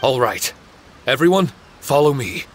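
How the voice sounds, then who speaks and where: A man's voice: medium pitch, big pitch swings, measured pace, slight background noise. An actor playing an earnest, puzzled male samurai warrior in a video game, in a musing, questioning manner. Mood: alert.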